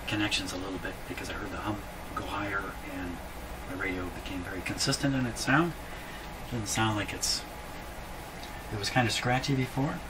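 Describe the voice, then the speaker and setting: Low-voiced older man over loud hum. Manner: halting, muttering to himself as he works. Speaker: radio repair hobbyist presenting. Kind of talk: casual hands-on workshop demonstration. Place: small cluttered indoor electronics workshop. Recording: loud mains hum and background noise.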